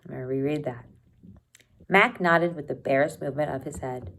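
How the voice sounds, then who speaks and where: A woman teacher reading a children's novel aloud expressively, pausing to think aloud and comment for her students. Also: deep voice, a bit bright, slight background noise. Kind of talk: read-aloud storytelling with asides to students.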